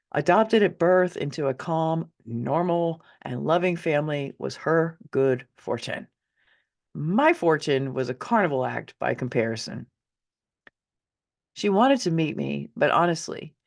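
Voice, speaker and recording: A woman's voice: sits low, a little mellow. A middle-aged woman reads her own memoir as a conversational audiobook, in a candid, wry way with dramatic emphasis. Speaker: author reading own memoir.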